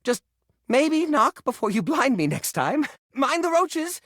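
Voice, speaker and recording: Casting: neurotic junk-drawer hoarder for a game; swooping pitch, gravelly creak, whiny and theatrical. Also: male voice, very animated, unhurried, fairly bright tone, no room sound, studio quality, exaggerated voice. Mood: welcoming, eccentric. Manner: theatrical, whiny, defensive, stammering when upset. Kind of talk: video game character voice acting.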